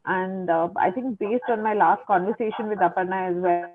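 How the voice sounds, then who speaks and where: A woman's voice: mid-range voice, normal speed. A woman moderating an online panel, who speaks encouragingly and repeats her words. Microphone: video call. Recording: tinny phone-like video call line.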